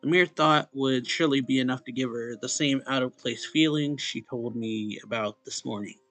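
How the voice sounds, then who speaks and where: A man reading a visual novel aloud as a let's play host, in a halting way, stumbling and going back over lines. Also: medium pitch, a bit bright, faint music behind.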